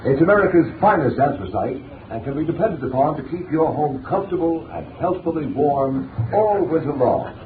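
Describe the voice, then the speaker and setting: A man's voice: fairly high pitch, a little hiss or hum. A man announcing on 1930s radio from a script, emphatic, polished and dramatic. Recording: tinny echoey 1930s radio recording. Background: music.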